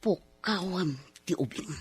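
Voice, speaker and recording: Woman acting raspy, scheming Ilocano villainess. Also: fairly low pitch, animated, slow pace, no echo, full character voice. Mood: cold, vengeful. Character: bitter scheming villainous wife, commanding and vengeful. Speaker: voice actor in radio comedy drama. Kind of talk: Ilocano radio drama acting.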